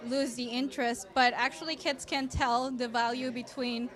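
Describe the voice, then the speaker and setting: A woman's voice: high-pitched, flat delivery, normal speed, a bit bright, some chatter behind. A young woman from Costa Rica, an art teacher, chatting enthusiastically in an interview at an art gallery, laughing often. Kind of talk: casual interview chat.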